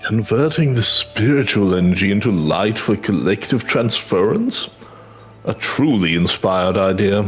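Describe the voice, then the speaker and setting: A man's voice: fairly low pitch, expressive, measured pace, soft background music. A man voicing a courteous, scholarly fantasy game mentor with formal, old-fashioned diction. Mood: welcoming, measured.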